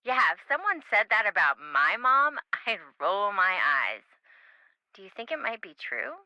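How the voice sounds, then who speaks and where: An actress playing a frank, chatty young friend with a casual, blunt manner in an adventure game. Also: female voice, mid-range voice, big pitch swings, a little slow, bright, forceful tone, no room sound, lo-fi sound, full character voice. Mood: skeptical. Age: young adult. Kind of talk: scripted adventure game dialogue.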